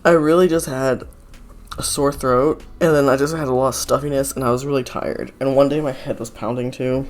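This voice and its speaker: A man talking in a loose, rambling voice-over, hoarse and gravelly after being sick, a little stuffy, full of "like" and "uh" and quick apologies. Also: mid-range voice, steady tone. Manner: rambling, with many fillers like "like" and "uh", apologetic. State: hoarse, gravelly voice from a recent illness; slightly stuffy nose. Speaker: art channel creator. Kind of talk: casual voice-over chat. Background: faint background music.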